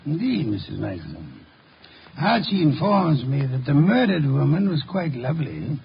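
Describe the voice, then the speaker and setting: An actor playing a shrewd, imperious, eccentric detective in a 1950s radio drama, speaking in a deliberate, formal, probing way. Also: male voice, fairly high pitch, sing-song, vocal fry. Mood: shrewd, pressing. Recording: dull, hissy 1950 radio recording.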